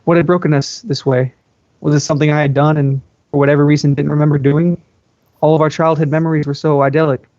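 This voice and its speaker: Guy w/ lightish voice reading a story out loud, trips on words sometimes, dull dry mic. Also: on the high side, level tone, fast talker, dry recording. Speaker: amateur fan fiction reader. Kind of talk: scripted narration read aloud in first person.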